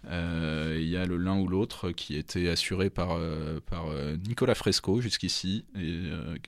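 A man's voice: low voice, measured pace, vocal fry, no room sound. A man, a magazine editor, answering interview questions casually with many fillers and restarts. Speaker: magazine editor-in-chief, guest.

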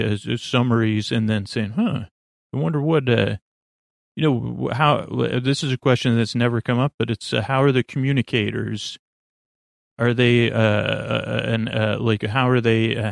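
A man hosting a podcast with a rambling bedtime monologue, halting and meandering, often trailing off mid-word. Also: mid-range voice, gravelly, dry recording, clean studio sound. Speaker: podcast host.

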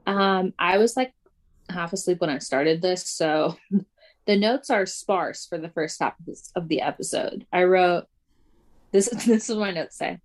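A woman co-hosting a casual podcast, talking fast and loosely with lots of "like" and frequent laughs. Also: fairly low pitch. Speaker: podcast co-host. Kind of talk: casual chat.

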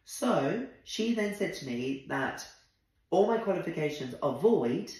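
A man from Zimbabwe, a drag performer guesting on a podcast, telling a story in animated, indignant bursts with quoted dialogue. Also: on the high side, measured pace. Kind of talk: casual conversational storytelling. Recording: very echoey room.

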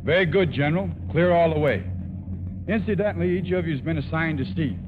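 An actor playing a genial, self-assured airline pilot in a 1950s radio drama. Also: male voice, a little high, brisk, close to an everyday voice. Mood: courteous announcing. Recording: muffled vintage radio broadcast, music behind.

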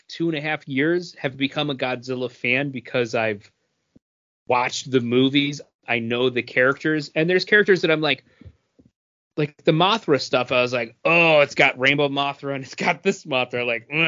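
A man's voice: fairly high pitch, bright, forceful tone. A man talking casually about games as a podcast co-host, on a slightly dull recording.

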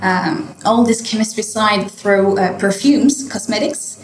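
A woman's voice: medium pitch, a little slow, echoey room, crowd noise. A French woman in her late twenties, a winemaker being interviewed, speaking English with a French accent and hesitating often with fillers and restarts. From France. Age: late 20s. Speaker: winemaker guest. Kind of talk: interview answers. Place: hotel wine cellar.

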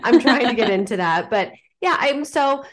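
A woman's voice: fairly high pitch, lively intonation, normal speed. A woman in her forties, an attorney guest on a podcast, sounding a little congested and chatting in a self-deprecating way with frequent laughs. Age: 40s. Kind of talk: casual podcast interview.

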